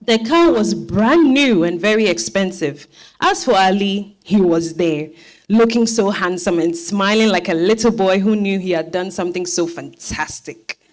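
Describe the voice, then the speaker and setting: A woman with a Ghanaian accent giving expressive scripted narration as storyteller in a dramatized stage reading. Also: mid-range voice, a little slow.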